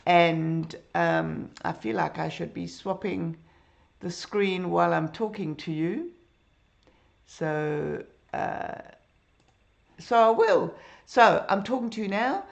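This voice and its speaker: Croaky middle-aged woman teaching live. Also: on the low side, lively intonation, takes it slowly, highs cut off. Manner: chatty, greets viewers by name, many ums. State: hoarse, croaky. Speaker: drawing teacher. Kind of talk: live-streamed drawing lesson. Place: home room with bookshelves. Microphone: computer webcam.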